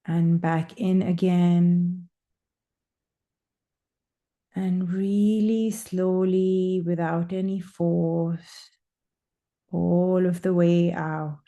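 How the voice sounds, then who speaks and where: A woman leading a guided breathing meditation, speaking in slow, soothing, drawn-out phrases with long pauses. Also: fairly low pitch, flat delivery, dark tone.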